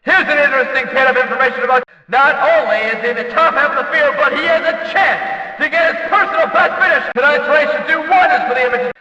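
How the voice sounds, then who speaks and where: Hyped up racing game announcer, really high bright voice, big showy emphatic calls. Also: male voice, quick pace, muffled recording, heavily acted. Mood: excited, hyped. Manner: emphatic, showy, stretched-out delivery. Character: excitable, booming male demolition-derby race announcer. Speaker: race announcer. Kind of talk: excited video game race commentary. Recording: roomy echoing reverb, distant from mic.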